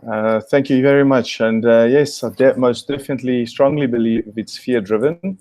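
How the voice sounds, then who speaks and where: A man's voice: medium pitch, fairly even intonation, normal speed, warm tone, no echo. A man from South Africa, a guest on a video call, answering thoughtfully with many fillers and restarts.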